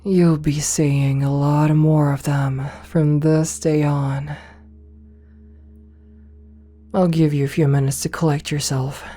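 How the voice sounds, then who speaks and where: A woman playing a possessive, seductive and domineering rich CEO in an ASMR roleplay, speaking in a soft, breathy near-whisper with slow, coaxing, condescending affection. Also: low voice, flat delivery, faint music behind. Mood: calm, satisfied. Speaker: voice actor in an audio roleplay. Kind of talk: ASMR roleplay, intimate slow address to listener.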